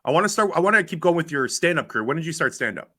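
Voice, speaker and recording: A man's voice: on the high side, lively intonation, rapid-fire, pushed and bright, dry recording, clean studio sound. A Canadian man hosting a podcast, doing a casual conversational interview. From Ontario, Canada.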